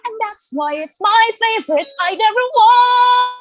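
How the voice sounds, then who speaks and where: A woman's voice: very high-pitched, animated, a little slow. A woman playing a loving, protective mother in a musical theatre table read, encouraging her son in speech and song. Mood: encouraging, singing.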